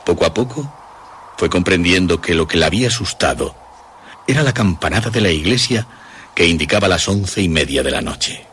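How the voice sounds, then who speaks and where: A man narrating a scripted horror story in deliberate, suspenseful phrases. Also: deep voice, lively intonation, fairly bright tone, a little hiss or hum. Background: music.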